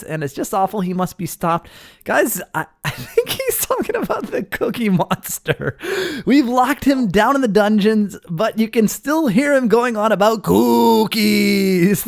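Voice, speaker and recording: A man's voice: high voice, vocal fry. Playful man hosting gaming roleplay. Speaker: gaming video host. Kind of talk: casual Minecraft roleplay commentary.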